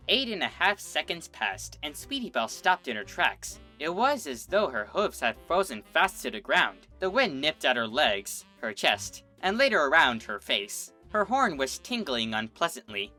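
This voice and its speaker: Female narrator, low-pitched, expressive dramatic storybook read with wide melody. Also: moderate pace, hardly any pauses, faint music behind. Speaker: fanfiction reader.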